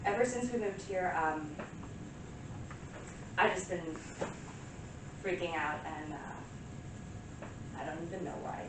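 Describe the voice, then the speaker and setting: An actress playing a neurotic, highly strung woman composer in a rambling, halting naturalistic stage monologue. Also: lightly acted. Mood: apologetic, anguished. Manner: rambling, exasperated, halting self-interruptions. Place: small live stage venue with audience. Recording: very echoey room, distant mic, faint noise.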